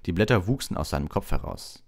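A man narrating an audiobook in a relaxed bedtime-story style. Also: deep voice, quick pace, creaky. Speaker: audiobook reader.

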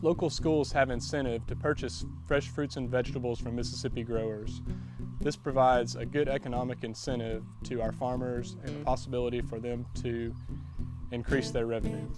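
A man's voice: medium pitch, steady tone, fairly quick. Man in his thirties presenting over guitar. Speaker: farmer. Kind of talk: scripted presentation to camera. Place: plastic greenhouse full of plants. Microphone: lapel. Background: plucked guitar music.

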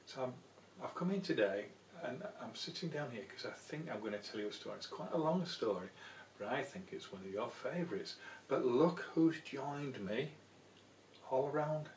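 A man's voice: medium pitch, lively intonation, normal speed, slight background noise. A man in his sixties, a grandfather playfully coaxing small children along as he tells them a story. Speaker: grandfather reading a picture book to grandchildren. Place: small indoor room at home. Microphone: camera a few feet away. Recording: roomy echo, a little far from camera.

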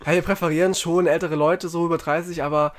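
A man's voice: a little high, steady tone, quick pace, a little hiss or hum. A man, chatting casually and jokingly as a podcast co-host. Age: late 20s. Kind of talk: casual chat.

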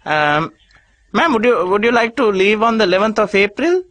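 A man's voice: high voice, big pitch swings, normal speed, fairly bright tone, dry recording. A man with a Sri Lankan accent making a prank phone call, stammering through overly polite airline-agent talk. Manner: hesitant, stammering, fake customer-service politeness. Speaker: radio prank caller.

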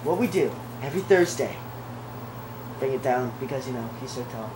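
A man's voice: medium pitch, expressive, moderate pace, echoey room, noisy background. A teenage boy co-hosting a casual vlog at home, teasing and wisecracking. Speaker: vlog co-host. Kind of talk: casual chat.

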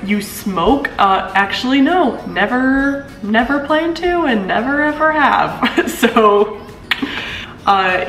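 Young woman vlogger with a deeper voice, casual and chatty, laughs a lot, recorded on a camera mic in a roomy apartment. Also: a bit bright. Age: 20s. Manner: chatty, laughs often, swoops up in pitch. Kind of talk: casual chat reading comments. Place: living room of an apartment. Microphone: camera mic at a few feet. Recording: echoey room.

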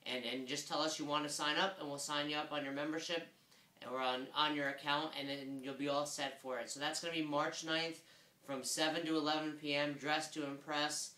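A man in his thirties talks straight to a camera a few feet away, upbeat and casual, his hands moving the whole time. His voice sits a little high with a bright, forceful edge. The small office around him adds a clear echo. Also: level tone, fairly quick. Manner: upbeat, gestures a lot with both hands. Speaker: gym owner giving weekly update. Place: small office room with desk and chairs. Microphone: fixed camera a few feet away.

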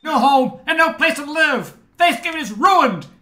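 A man's voice: extremely high pitch, animated, moderate pace, pushed and bright, exaggerated voice. An older man playing a furious, blaming husband in an amateur radio play. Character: furious, blaming husband imagined by his wife.

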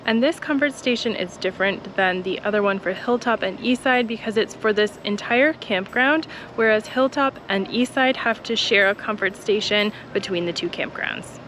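A young woman vlogger talking to a handheld camera outdoors in a wooded park, giving a clear, practical tour of a campground with friendly tips. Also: medium pitch, moderate pace, few pauses, fairly bright tone, slight background noise, rough recording. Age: young adult. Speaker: travel vlogger touring a campground. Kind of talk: informative park tour narration. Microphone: camera held at arm's length.

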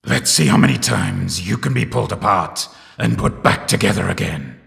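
A man voicing a menacing, sadistic undead animatronic rabbit killer in a video game, with a growling, gravelly voice and drawn-out delivery. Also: low voice, expressive, a bit bright, exaggerated voice. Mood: cold menace. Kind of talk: video game villain voice lines. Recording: long cavernous reverb.

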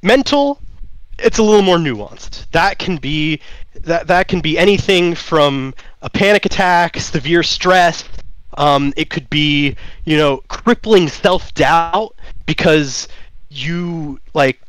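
A man's voice: fairly high pitch, lively intonation, slow pace, fairly bright tone, dry recording, poor audio. A man whose first language is German, explaining his tabletop game in an interview, with many fillers and restarts. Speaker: game designer guest. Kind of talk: interview answers.

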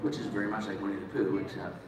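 A man's voice: lowish voice, steady tone, fast. A man hosting a live fan panel informally, recorded from the audience in a large convention hall. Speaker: panel host. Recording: echoey noisy convention hall from far off.

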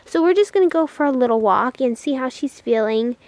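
A woman's voice: high voice, expressive, brisk, darkish tone, no echo. A woman narrating a casual horse vlog in a chatty way, speaking fondly of her animals. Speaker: horse vlogger.

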